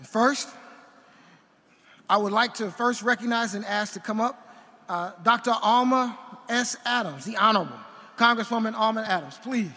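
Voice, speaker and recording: A man reading a formal resolution from a podium microphone in a large indoor arena, measured and ceremonial, with occasional slips. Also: high-pitched, sharp tone. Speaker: university official presenting an honorary degree. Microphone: podium gooseneck microphone. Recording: long reverb of a big arena.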